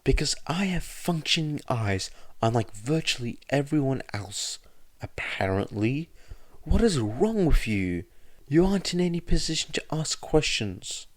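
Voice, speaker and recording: A man voicing an anguished, furious teenage boy hero student who shouts bitter, sarcastic lines. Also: fairly high pitch, sing-song, unhurried, pitched above the performer's own voice.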